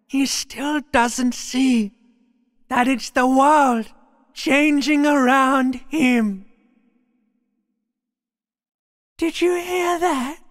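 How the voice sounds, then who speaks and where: A man voicing a menacing, taunting inner voice of the nervous system in a video game, theatrical, breathy and ominous. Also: extremely high pitch, big-room sound, full character voice. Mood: mocking. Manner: slow, breathy, dramatic, ominous.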